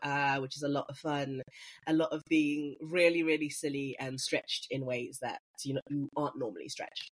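An actor from Africa, chatting casually as an interview guest in a playful, animated way. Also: mid-range voice, normal speed. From South Africa.

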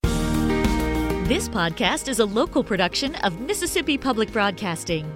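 A woman reading a station funding announcement in a clear, upbeat way. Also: mid-range voice, very animated, unhurried. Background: music bed.

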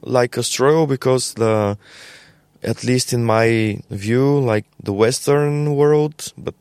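A young adult man with a Bulgarian accent, a podcast guest talking casually in a halting way with many fillers and repeated words. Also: mid-range voice, darkish tone, clean tone, no room sound, studio quality. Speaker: podcast guest, label co-founder. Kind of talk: casual conversational interview.

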